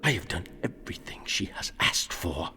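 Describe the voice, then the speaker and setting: A stern, imperious king in an audio drama. His speech is regal and formal, with pitch that swings widely as he rules, proud on the surface with tenderness underneath. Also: big pitch swings, moderate pace, sharp tone, full character voice. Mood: angry, menacing.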